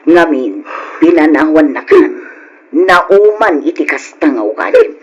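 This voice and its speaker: An actress playing an older, exasperated but caring mother in an old radio drama, husky and admonishing. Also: sits low, big pitch swings, unhurried, lo-fi sound, exaggerated voice. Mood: scolding, lamenting. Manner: admonishing, lamenting.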